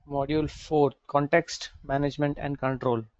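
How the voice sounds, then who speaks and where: A man's voice: on the high side, level tone, moderate pace, a little mellow, no echo. A man whose first language is Marathi, agreeable and a little hesitant, chatting on a video call. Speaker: participant. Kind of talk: casual chat on a video call. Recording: muffled dry video call audio.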